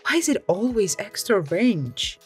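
A woman's voice: medium pitch, very animated, a little slow, slightly rough, soft background music. A woman reading online stories aloud as a host, in scripted storytime narration.

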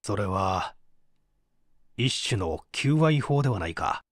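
An actor playing a stern prosecutor who questions a suspect formally and with authority in a mystery audio drama. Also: male voice, fairly low pitch, big pitch swings, slow pace, dry recording, clean studio sound. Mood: probing, stern. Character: probing, formal male prosecutor interrogating a suspect. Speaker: voice actor reading a story.

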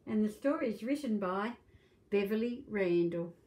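A woman's voice: mid-range voice, lively intonation, normal speed, warm tone. A middle-aged woman reading aloud to young children as a storytime host, in a simple, questioning, child-directed manner. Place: small domestic room indoors.

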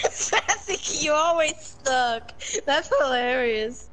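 Very high-pitched, giggly teasing woman. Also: expressive, takes it slowly, a bit bright, low-quality recording. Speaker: friend joining a game stream. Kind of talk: casual teasing chat. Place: voice chat over a game stream.

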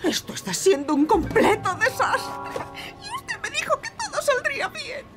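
A woman's voice: very high-pitched, wildly swooping pitch, moderate pace, music in the background, full character voice. A woman voicing a flustered, eager cartoon character, emphatic and exclamatory, with a Castilian Spanish accent. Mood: flustered, dismayed. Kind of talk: animated cartoon dubbing.

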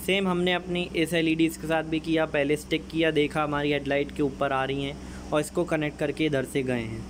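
A man's voice: on the high side, level tone, fairly quick, background noise. Chatty young man explaining a car mod. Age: young adult. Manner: chatty step-by-step explaining, addressing viewers as friends. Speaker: DIY car modification vlogger. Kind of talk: casual how-to explanation. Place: outdoors beside a car, at the engine bay. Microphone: handheld phone camera.

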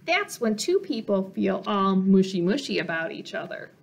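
A woman's voice: mid-range voice, big pitch swings, normal speed, gravelly, voice pushed down. A woman voicing a know-it-all picture-book bunny, explaining things emphatically.

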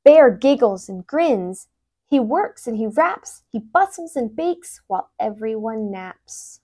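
A young woman reads a children's storybook aloud with lots of expression. Her voice is fairly high and swings widely in pitch, and she takes her time, going slowly from phrase to phrase. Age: young adult. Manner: animated, with brief asides explaining words. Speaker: tutor reading a picture book aloud. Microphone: lapel mic.

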